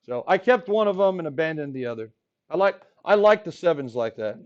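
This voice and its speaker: A man in his forties from the Rio Grande Valley, teaching a high school math class in a playful, joking way, his voice a bit hoarse. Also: lively intonation, brisk. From Texas Rio Grande Valley. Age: 40s. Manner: playful, jokey, talks to the class. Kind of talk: classroom lecture. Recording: dull lo-fi classroom recording.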